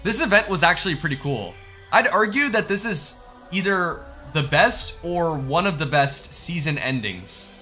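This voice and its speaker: A young man giving casual reaction commentary as a gaming YouTuber, informal and slangy, thinking out loud as he goes. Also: fairly high pitch, animated, moderate pace, soft background music.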